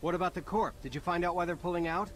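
An actor playing an earnest, plain-spoken human soldier hero in a video game, talking casually and matter-of-factly. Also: male voice, sits high, fast talker, fairly bright tone, slight background noise. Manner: casual, colloquial, matter-of-fact. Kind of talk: video game character acting.

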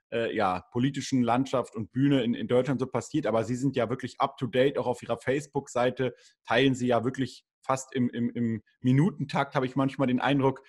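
A young male host chats into a desk microphone from a small room at home. His voice is mid-pitched with a slightly husky grain. He rambles a little as he works toward each question. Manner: chatty, asks long rambling questions. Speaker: YouTube interview host. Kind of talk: casual video interview. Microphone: studio condenser mic on desk.

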